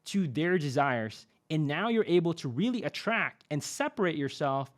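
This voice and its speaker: A man's voice: a little high, normal speed. A young adult man, a podcast guest and marketing expert, explaining in a conversational way with frequent fillers and a repeated "right?". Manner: animated, many fillers and tag "right?".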